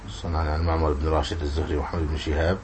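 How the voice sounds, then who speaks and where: A man's voice: rumbling low, little pitch movement, very fast. A man giving an informal religious lesson as an Islamic scholar, explaining and repeating points in a didactic way. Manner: didactic, repeats words and questions. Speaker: Islamic scholar teaching hadith. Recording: clearly noisy room recording.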